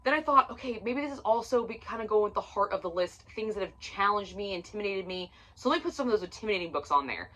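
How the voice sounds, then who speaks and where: Brief: woman, late thirties, book vlogger, bright tense voice, casual and profane, self-deprecating. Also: mid-range voice, lively intonation, quick pace, few pauses. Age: 30s. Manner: chatty, profane, self-mocking. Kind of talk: casual chat to camera. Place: at home, seated on the floor.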